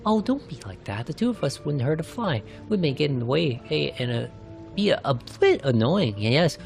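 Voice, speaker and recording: A man's voice: a little high, big pitch swings, moderate pace, creaky, a little mellow, soft background music, voice pushed up. A man voicing a brash, cheeky young adventurer from a fantasy game, teasing and exclamatory.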